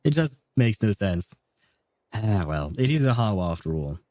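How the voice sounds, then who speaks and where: Male game streamer, mellow, dry close mic, rambling and hesitant, faint game music. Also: fairly low pitch, very animated, measured pace, dry recording. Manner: rambling, hesitant, many fillers, laughs often. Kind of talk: livestream gameplay commentary, reading chat.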